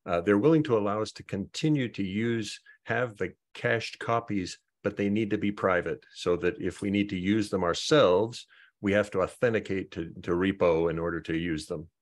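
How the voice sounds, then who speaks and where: A man hosting an online project meeting, talking through updates in a halting way and often repeating words as he thinks. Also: lowish voice. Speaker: meeting host.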